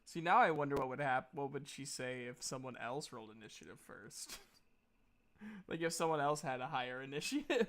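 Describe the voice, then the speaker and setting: A man narrating a tabletop game as game master in a casual, animated, halting storytelling way. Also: medium pitch, slight background noise.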